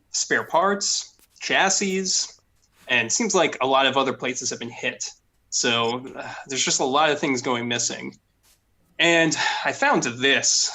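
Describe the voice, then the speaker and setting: A man's voice: medium pitch, pushed and bright. A man voicing a stern, officious older noblewoman investigator in a tabletop game, commanding and insistent. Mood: weary, concerned.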